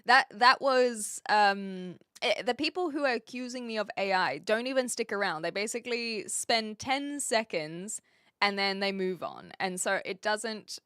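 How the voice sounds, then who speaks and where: A woman's voice: mid-range voice, animated, unhurried, fairly bright tone, clean tone, dry recording, studio quality. A woman from New Zealand streaming live and chatting casually with viewers, rambling a little with restarts and fillers.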